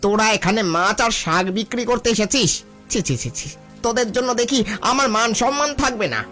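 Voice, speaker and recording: A man's voice: extremely high pitch, fairly quick, sharp tone, soft background music. A man voicing a haughty, boastful shopkeeper elder brother in a cartoon, with a disdainful manner. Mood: disgusted. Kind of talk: Bengali village cartoon dubbing.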